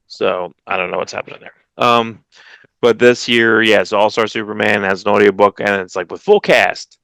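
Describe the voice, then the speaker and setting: A man's voice: fairly even intonation, normal speed, gravelly, a bit bright, no room sound, low-quality recording. A man in his thirties co-hosting a comics podcast from home on a headset, rambling casually with lots of restarts. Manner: rambling, many restarts, low-key. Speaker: podcast co-host. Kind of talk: casual chat about comics news. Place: home room, video call.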